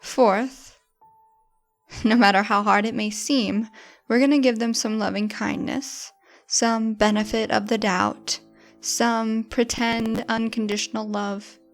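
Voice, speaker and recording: A woman narrating a guided meditation in a gentle, encouraging, informal manner. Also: fairly high pitch, flat delivery, slow pace. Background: music.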